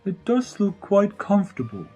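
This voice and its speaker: A man playing a big, wry, easygoing Tauren bull-man in an audio drama, formally worded and gently teasing. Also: high voice, wildly swooping pitch, moderate pace, slightly rough, faint music behind, full character voice. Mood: polite, amused.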